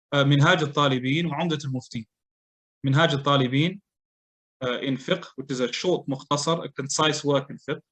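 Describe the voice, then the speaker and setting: A man's voice: fairly high pitch, a bit bright. A man giving a mosque lecture, telling a scholar's story conversationally with frequent fillers and restarts. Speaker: Islamic lecturer. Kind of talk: casual lecture.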